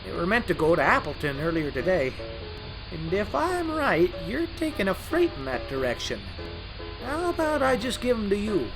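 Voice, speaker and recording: A timid, nervous locomotive voiced by a man, high in pitch and swinging up and down. He hesitates and stammers politely, an audio drama character with music behind him. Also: wildly swooping pitch, normal speed, heavily acted, low-quality recording. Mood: hesitant. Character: nervous, timid, polite young male engine. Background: music, night insects.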